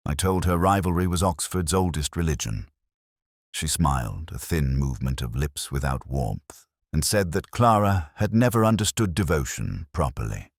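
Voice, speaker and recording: A man reading a scripted first-person detective story in a measured, literary, brooding way. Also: extremely low pitch, no echo, clean studio sound. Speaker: narrator.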